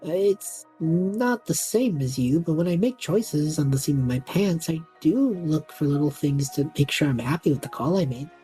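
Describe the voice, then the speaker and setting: A woman's voice: low voice, expressive, moderate pace, few pauses, mellow, soft background music, poor audio. A young woman playing an easygoing, impulsive student with a playful, dry manner in a naturalistic audio drama. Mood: teasing.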